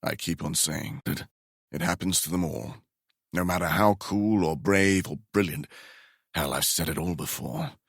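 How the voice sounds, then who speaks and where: A man voicing a grave, weary racing team manager who speaks low and quietly. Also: moderate pace, dry recording, clean studio sound.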